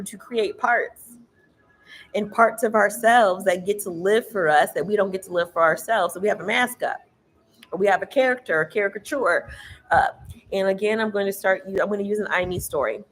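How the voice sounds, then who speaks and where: A woman with a loud, carrying voice giving a casual tarot reading, emphatic and preachy, swearing freely. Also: medium pitch, a little hiss or hum. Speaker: tarot reader.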